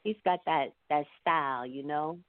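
A woman's voice: sits low, normal speed, no room sound. A woman talking casually and colloquially as an interviewed singer, with an encouraging, emphatic way of speaking. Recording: muffled phone-like line.

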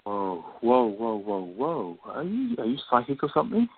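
A man's voice: medium pitch, wildly swooping pitch, normal speed. A man playing a teasing, wry playwright friend in a radio play, with a playful, theatrical manner. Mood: suspicious. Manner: playful, self-mocking, theatrical. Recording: tinny phone-like sound with faint noise.

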